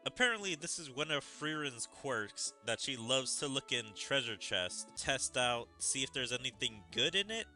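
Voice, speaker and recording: A man's voice: medium pitch, sing-song, normal speed, hardly any pauses, bright, forceful tone, faint music behind. A man giving casual, rambling commentary as a gaming streamer, with opinionated asides.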